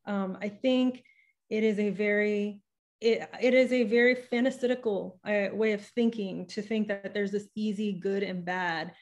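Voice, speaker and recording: A woman's voice: mid-range voice, measured pace. A young woman speaking as a podcast guest over a video call, talking things through thoughtfully with frequent restarts, into a studio microphone at home. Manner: thoughtful, restarts often, animated with hand gestures. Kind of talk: casual interview conversation. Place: home room with bookshelf and patterned wall hanging. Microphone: studio microphone on a boom arm.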